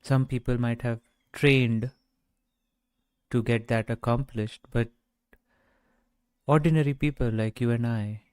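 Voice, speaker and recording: A man guiding a meditation in a gentle, measured way, speaking off the cuff with long pauses. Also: medium pitch, steady tone, clear, resonant tone, no echo. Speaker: meditation guide. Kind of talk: guided meditation, unscripted talk.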